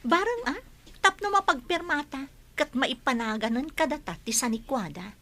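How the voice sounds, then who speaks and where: A woman's voice: sits high, animated, moderate pace, bright, forceful tone. An actress playing a spiteful, scheming wealthy woman in an Ilocano radio drama. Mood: annoyed, spiteful.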